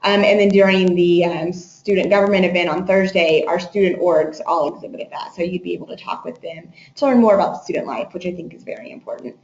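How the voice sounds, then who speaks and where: A woman's voice: lowish voice, fairly even intonation, fast talker, hardly any pauses, a little mellow. A woman giving an informational webinar presentation as an admissions presenter, explaining with frequent hesitations. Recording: echoey room, muffled webinar audio.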